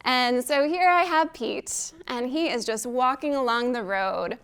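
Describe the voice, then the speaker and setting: A woman with a high voice reads aloud for young children in a storytime style. Her pace is slow and deliberate, with expressive phrasing and questions aimed at the little ones. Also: smooth. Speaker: children's librarian hosting storytime.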